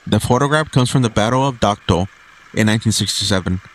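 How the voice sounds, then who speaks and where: Low-voiced man narrating a documentary. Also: fast, rough recording. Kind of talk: scripted video essay narration.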